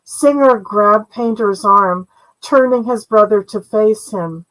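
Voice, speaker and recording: An older woman reading a fairy tale aloud as its author, in a measured, gentle storytelling manner. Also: mid-range voice, rough recording. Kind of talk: storybook narration read aloud.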